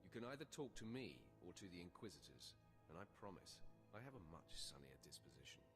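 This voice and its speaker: An actor playing a cold, proud young Sith warrior in a video game, haughty, clipped and controlled. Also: male voice, low-pitched, big pitch swings, fairly quick. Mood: coolly menacing. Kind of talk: video game character acting. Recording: music behind the voice.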